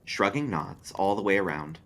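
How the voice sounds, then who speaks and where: A man reading a story aloud as a librarian, expressive and dramatic, sometimes dropping into hushed asides. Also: low-pitched, normal speed.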